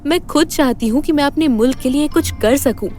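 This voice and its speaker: A woman's voice: high voice, brisk, mellow, slight background noise, natural voice. A woman acting a resolute, dutiful spy in love, in a romantic audio drama. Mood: firm, sad.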